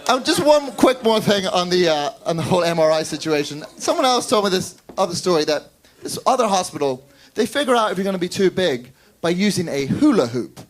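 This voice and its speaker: Man, Northern Irish accent, stand-up comic, high voice, very animated pitch, wry deadpan delivery. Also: slight background noise. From Northern Ireland. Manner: wry, deadpan storytelling with quick character voices. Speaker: stand-up comedian. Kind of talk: stand-up set. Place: comedy club stage.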